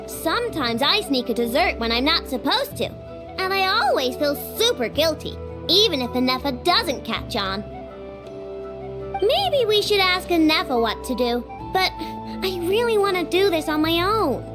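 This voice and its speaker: A woman's voice: very high voice, sing-song, normal speed. An earnest young girl inventor. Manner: earnest, eager, a little naive. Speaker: workshop owner. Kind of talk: video game voice acting. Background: game music.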